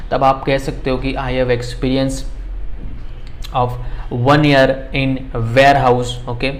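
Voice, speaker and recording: A man teaching spoken English in a casual lesson, dropping "okay" often and slowing right down to say English example phrases. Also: medium pitch, a little hiss or hum. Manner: conversational, repeats "okay" and slows down to spell out English phrases.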